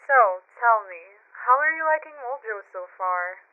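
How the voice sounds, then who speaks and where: A woman's voice: on the high side, expressive, a little slow, no room sound. A woman playing a small-town radio host in a scripted drama, bantering and reading headlines through a radio filter. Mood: friendly, chatty. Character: cheerful female small-town radio morning co-host and newsreader. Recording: band-limited tinny radio sound.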